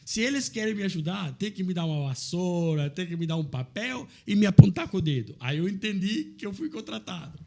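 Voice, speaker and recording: Man from Italy, middle-aged, animated storyteller, mellow, a little high-pitched. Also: a little fast, vocal fry, few pauses. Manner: animated, laughs while telling anecdotes. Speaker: restaurant owner guest. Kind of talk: casual storytelling.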